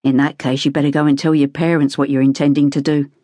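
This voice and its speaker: A woman voicing a calm, protective older brother, reasoning steadily. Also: low-pitched, quick pace, dry recording, voice pushed down.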